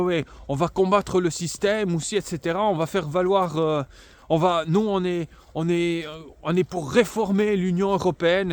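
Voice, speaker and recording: Casting: male political commentator, fairly high voice, sarcastic, emphatic delivery, halting with fillers. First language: bilingual French and Italian. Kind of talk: unscripted political monologue.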